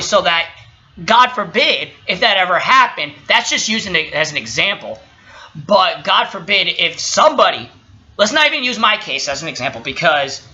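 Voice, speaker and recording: Indignant commentator, piercingly tense tone, impromptu opinion, profane, emphatic, muffled low-fidelity audio. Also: bright, forceful tone. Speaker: commentator reacting to a recorded call.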